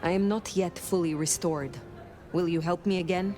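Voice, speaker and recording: An actress playing a reserved, formal vampire elder in a video game, speaking in measured phrases. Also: female voice, on the low side, steady tone, dark tone, soft background music, lightly acted. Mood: reserved, deferential.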